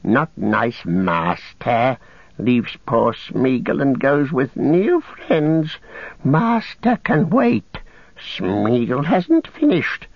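A man voicing a wretched, wheedling, spiteful creature in a strained hiss, muttering in drawn-out broken phrases as in a storybook reading. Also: medium pitch, sing-song, creaky, dry recording, lo-fi sound, voice pushed up, full character voice. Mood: sullen. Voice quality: hissing, strained, whispery at times. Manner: drawn-out muttering, talks of itself in broken grammar.

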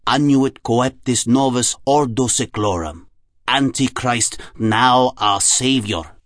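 A man's voice: mid-range voice, a bit bright, no room sound. A man voicing a smug, sneering psychoanalyst who intones his words mockingly in an audiobook. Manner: slow, deliberate, mocking incantation. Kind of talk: audiobook character acting.

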